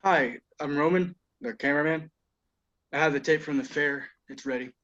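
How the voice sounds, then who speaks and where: A man reading the part of a calm, cryptic cameraman who muses about anger, in a table read over a video call. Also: fairly high pitch, moderate pace, dull audio, close to an everyday voice. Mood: ominous. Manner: calm, ominous, philosophizing.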